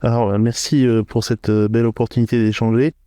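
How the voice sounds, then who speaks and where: Middle-aged french guy in a podcast interview, lots of "euh" pauses, smooth clear voice. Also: mid-range voice, level tone, dark tone, no echo, studio quality. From France, Hauts-de-France. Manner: hesitant, many filler pauses. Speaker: podcast guest, entrepreneur. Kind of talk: interview conversation.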